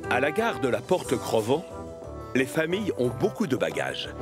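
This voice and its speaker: A man's voice: mid-range voice, huge pitch swings, moderate pace. A man narrating a scripted children's cartoon story. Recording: music behind the voice.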